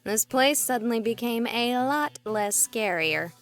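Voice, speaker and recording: A woman voicing an excitable, jokey young ghost-hunting streamer in a horror visual novel, with a girlish, animated, theatrical delivery. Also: a little high, a little slow, faint music behind. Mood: chatty, wry. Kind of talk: visual novel game voice acting, livestream narration.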